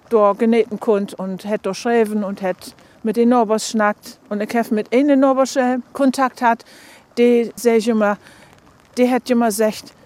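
A woman's voice: a little high, darkish tone. A woman with a Northern German accent, recollecting conversationally as an interviewee.

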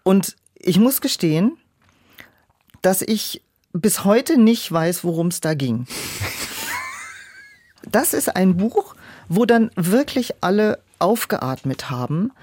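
A woman's voice: mid-range voice, very animated, slow pace, pauses often, darkish tone, no room sound. A woman speaking in a reflective interview as an audiobook director, explaining things and illustrating them with quoted asides. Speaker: audiobook director and producer, podcast guest.

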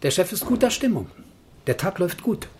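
An actor playing an earnest gallery art explainer in a radio play, matter-of-fact and explanatory. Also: male voice, sits high, big pitch swings, brisk, darkish tone, a little hiss or hum.

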